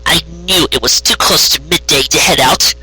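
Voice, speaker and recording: A man's voice: on the high side, moderate pace, sharp tone, breathy edge, pitched above the performer's own voice, exaggerated voice, poor audio. A man voicing a haughty, impatient young female unicorn magician in a story, with dry, accusing delivery. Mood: sarcastic, irritated.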